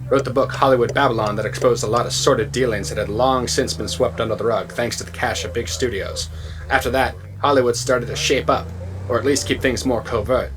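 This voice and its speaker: An actor playing a cynical, condescending Hollywood gossip reporter in an audio drama, with a sardonic, folksy, patronizing way of talking. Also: male voice, mid-range voice, fairly even intonation, brisk, natural voice. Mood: explaining, knowing. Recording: clear background noise and ambience.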